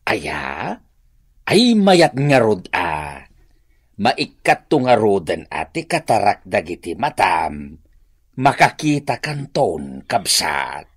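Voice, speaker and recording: A man's voice: lowish voice, sing-song, takes it slowly, slightly rough, dry recording, studio quality, exaggerated voice. A man acting a teasing, blunt village husband in a radio comedy drama, with an Ilocano accent. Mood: concerned, friendly.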